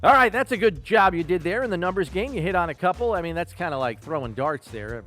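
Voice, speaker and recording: Male sports podcast host, slightly high voice, emphatic quizmaster delivery, music underneath. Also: lively intonation, fast talker, a bit bright. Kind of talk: sports talk and trivia quiz.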